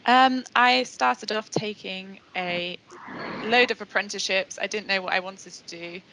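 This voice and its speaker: A woman's voice: medium pitch, measured pace, bright, forceful tone, a little hiss or hum, low-quality recording. A young woman, a trainee technician, speaking in a shy, hesitant way on a video call. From Norfolk, England. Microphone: headset on video call.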